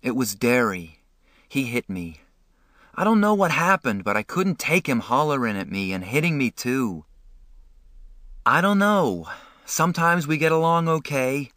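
A man's voice: mid-range voice, big pitch swings, measured pace, a bit bright, no echo, clean studio sound, voice pushed up. A man reading an audiobook, voicing a sensitive, upset teenage boy who pleads and protests.

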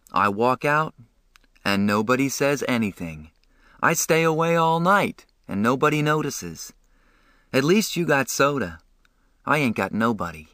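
A man reading an audiobook, voicing a gentle, neglected teenage boy in a soft, plaintive manner. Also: medium pitch, no room sound, studio quality, voice pushed up.

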